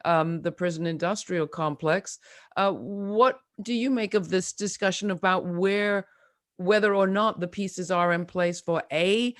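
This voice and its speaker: Woman moderating a panel, brisk and chatty, dry sound. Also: lowish voice, dry recording. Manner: brisk, conversational questioning.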